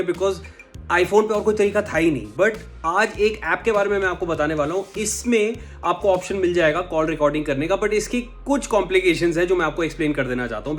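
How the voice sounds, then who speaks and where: An Indian man with an Indian accent hosting a casual tech explainer, talking conversationally to viewers and slipping between two languages. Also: sits high. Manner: conversational, switches between Hindi and English. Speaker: tech show host. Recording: music under the voice. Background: background music.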